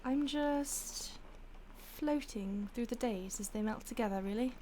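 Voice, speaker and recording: A woman playing an ancient, detached vampire stalker in an intimate audio roleplay, with a breathy voice that often drops to a whisper and a languid, teasing manner. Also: fairly high pitch, unhurried, dark tone, slight background noise, natural voice. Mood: musing, detached. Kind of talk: intimate audio roleplay, ASMR-like acting addressed to the listener.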